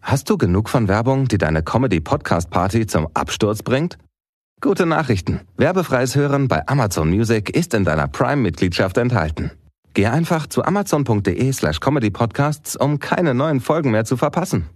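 A man reading a scripted ad in a lively promotional tone. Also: medium pitch, fairly quick, no room sound, clean studio sound. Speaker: ad announcer. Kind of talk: scripted ad voice-over.

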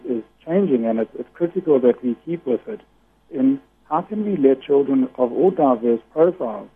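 A man with a loud voice, a guest giving earnest explanations in a radio interview over the phone. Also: mid-range voice, breathy edge. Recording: tinny phone line.

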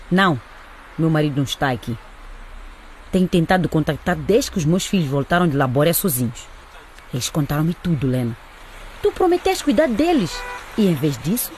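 A woman's voice: on the low side, a little mellow, a little hiss or hum. A woman playing a fierce, protective mother in a radio drama, emphatic and scolding in manner. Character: fierce, indignant mother protecting her children.

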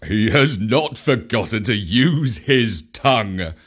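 A man voicing a big male bear, earnest, doting, slow and emphatic, storybook. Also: on the low side, animated, husky, full character voice. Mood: proud. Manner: warm-hearted, earnest. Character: big, fond male bear, anxious teacher.

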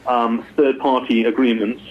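A man's voice: mid-range voice, little pitch movement, unhurried, a little hiss or hum. A man explaining things as an expert guest in an interview, repeating words as he thinks aloud. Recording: muffled phone-like line.

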